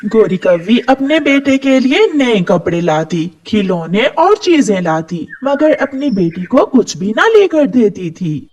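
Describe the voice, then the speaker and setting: Very high-pitched male narrator, slow. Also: big pitch swings, darkish tone. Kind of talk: scripted storytelling narration for cartoon.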